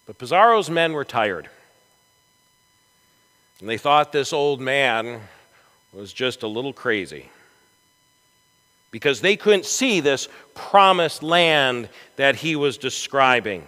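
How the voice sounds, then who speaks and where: Man, pastor, bright forceful voice, dramatic storytelling sermon, slow deliberate pace. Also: medium pitch, sing-song. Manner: dramatic, deliberate delivery with emphatic swells. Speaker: pastor preaching. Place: large church sanctuary, from the chancel. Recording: echoey church hall with long reverb.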